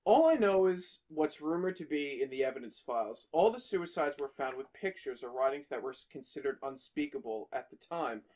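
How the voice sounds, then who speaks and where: A young man co-hosts a casual podcast and reads aloud in a slightly high voice. He swears freely and jumps into loud bursts of excitement between quieter stretches. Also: a little fast, few pauses. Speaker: podcast co-host. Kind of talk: casual banter and reading aloud.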